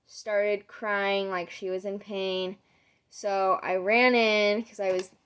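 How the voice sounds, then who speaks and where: Young woman, hoarse from a sore throat, rambling vlog chat, smooth tone underneath. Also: medium pitch. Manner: rambling, many "um"s, retells conversations. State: losing her voice, sore throat. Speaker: vlogger. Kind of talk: casual catch-up storytelling to camera.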